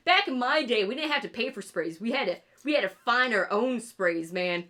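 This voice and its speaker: A woman's voice: a little high, expressive, moderate pace, bright, forceful tone. Swearing New York woman streamer. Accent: upstate New York. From rural New York State. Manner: rambling, swears a lot, laughs at own jokes. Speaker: streamer and artist. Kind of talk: casual livestream chat while drawing.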